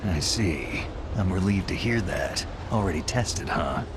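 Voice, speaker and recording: An actor playing a gruff, stoic special-forces infiltrator in a video game, terse and understated with a gravelly voice. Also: male voice, deep voice, animated, a little slow, background noise. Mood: cool, dry. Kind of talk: dramatic video game voice acting.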